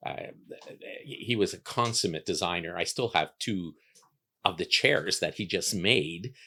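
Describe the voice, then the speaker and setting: A man's voice: low voice, a little slow, bright, forceful tone, husky. A man from Canada whose first language was an Italian dialect, speaking reflectively as an interview guest, with many fillers and restarts. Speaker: guest, architect. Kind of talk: relaxed conversational interview.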